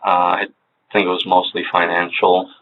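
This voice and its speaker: A man's voice: monotone, normal speed, a little hiss or hum. A man in his forties who grew up in Hawaii, answering questions hesitantly with many fillers in a police interview room. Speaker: interrogation subject. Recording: tinny low-fidelity room recording.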